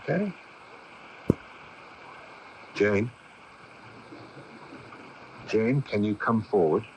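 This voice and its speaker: An actor playing an earnest, probing young man in a 1980s radio drama, intent and a little halting. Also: lowish voice, animated. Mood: coaxing. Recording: noisy 1980s AM radio broadcast.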